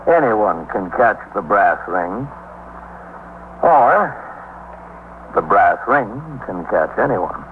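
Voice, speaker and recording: Low-voiced, steady police captain. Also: male voice. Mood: reflective. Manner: matter-of-fact, authoritative. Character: steady, businesslike male New York police captain. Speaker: lead character and narrator. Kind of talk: 1950s radio drama acting. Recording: tinny 1950s radio recording, faint noise.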